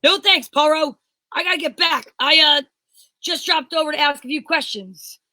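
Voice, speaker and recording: A woman playing a blunt, excitable police inspector in an amateur radio play, speaking in an exclamatory, incredulous manner. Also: sits high, normal speed, no echo. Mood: businesslike. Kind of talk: amateur old-time radio play reading.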